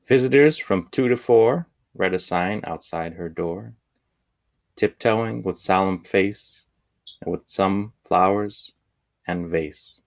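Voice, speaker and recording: A man reading a children's picture book aloud in measured, deliberate phrases with pauses. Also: deep voice, vocal fry. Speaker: storybook reader.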